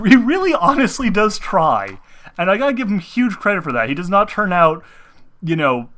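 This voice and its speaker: Young male host, bright voice, loose rambling chat, a bit under the weather. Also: fairly high pitch. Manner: rambling, many restarts and fillers, upbeat. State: sick, talking through discomfort. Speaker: gaming video host. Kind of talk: rambling casual chat to viewers.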